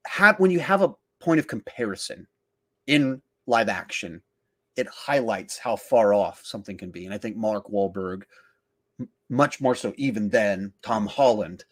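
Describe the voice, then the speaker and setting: A man hosting a podcast in casual discussion, acting out his reactions in animated asides. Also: on the low side, unhurried. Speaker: podcast host.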